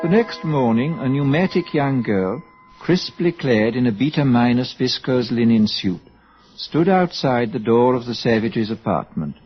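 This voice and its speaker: A man's voice: medium pitch, animated, unhurried, breathy edge. A man narrating an old-time radio drama as a composed, literate storyteller. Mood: composed, descriptive. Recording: muffled old radio recording over music.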